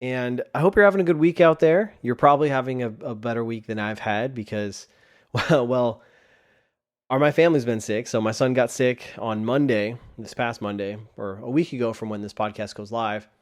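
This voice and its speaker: A man's voice: mid-range voice, normal speed. Tired man hosting a casual podcast. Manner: rambling with frequent restarts. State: sore throat, tired. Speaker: podcast host. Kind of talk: casual solo podcast talk. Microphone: desk microphone.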